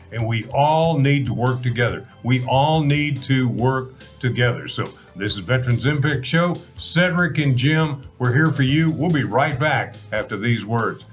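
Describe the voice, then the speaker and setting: Folksy American man in his seventies, hosting. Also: medium pitch. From Texas, United States. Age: 70s. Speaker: talk show host. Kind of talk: conversational talk show hosting.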